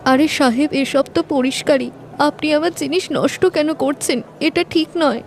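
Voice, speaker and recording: A woman's voice: sits high, moderate pace, slight background noise. A woman voicing a humble, hardworking street-seller mother in a moral-story cartoon, polite and plain-spoken. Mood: pleading.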